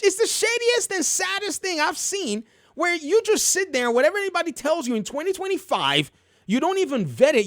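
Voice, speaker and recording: A man's voice: very high-pitched, fairly bright tone, no echo, studio quality. A man hosting casual, opinionated video game commentary, telling stories in a colloquial, emphatic way and repeating phrases for effect.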